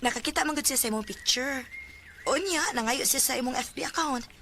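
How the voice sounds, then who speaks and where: An eager Cebuano younger sister in a radio drama. Her voice is high and bright, cheerful and coaxing, with faint noise behind it. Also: fairly quick. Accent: Filipino, Cebuano Bisaya. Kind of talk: Bisaya radio drama acting.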